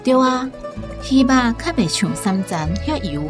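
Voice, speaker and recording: An actress with a Taiwanese accent playing a practical nurse wife in a radio drama, matter-of-fact. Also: female voice, a little high, expressive, measured pace. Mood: practical, reassuring. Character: practical wife, a nurse, advising her husband. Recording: music behind the voice.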